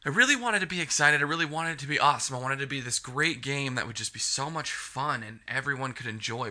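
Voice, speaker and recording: A man's voice: medium pitch, bright, forceful tone. A man reviewing a video game in a casual, rambling rant, sniffly from a cold and swearing freely. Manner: frustrated, rambling, heavy swearing.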